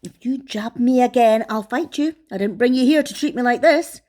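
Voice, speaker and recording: A woman voicing an excitable, quarrelsome, easily frightened male fox in a storybook, exclaiming indignantly. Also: on the high side, moderate pace, lightly acted. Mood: cross, threatening.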